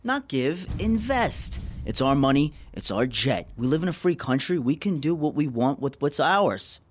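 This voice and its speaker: A man's voice: fairly high pitch, very animated, normal speed. An actor playing a slick, needling executive held captive, sly and persuasive as he negotiates. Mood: negotiating. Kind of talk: audio drama acting.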